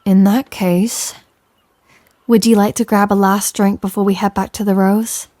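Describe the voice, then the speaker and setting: A woman's voice: mid-range voice, level tone, a little slow, dark tone, no echo, lightly acted. A woman playing a tender but commanding female pirate captain in an intimate audio roleplay, with a stage pirate accent and teasing sailor slang. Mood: inviting. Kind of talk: scripted roleplay audio, intimate second-person address.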